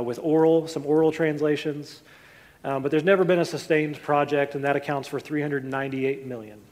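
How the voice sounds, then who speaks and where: Man, church class teacher, higher-pitched, explanatory and steady, lots of "okay", recorded in a reverberant hall. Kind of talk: church class lecture with handouts.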